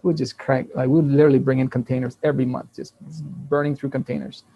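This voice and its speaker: A man of fifty, raised in Taiwan and Hawaii with Taiwanese as his first language, telling business stories as a guest in a casual interview with lively restarts and laughs. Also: mid-range voice, quick pace, vocal fry, husky, muffled recording. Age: 50s. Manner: animated storytelling with frequent restarts and laughs.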